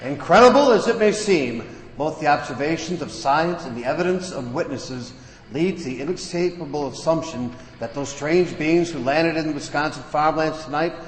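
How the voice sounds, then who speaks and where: Slightly high male voice, actor as grave statesman, solemn, measured, radio drama, echoey hall. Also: expressive, a little hiss or hum, close to an everyday voice. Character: grave male government secretary addressing the nation. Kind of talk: radio play acting, solemn speech. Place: theater stage, live radio play performance.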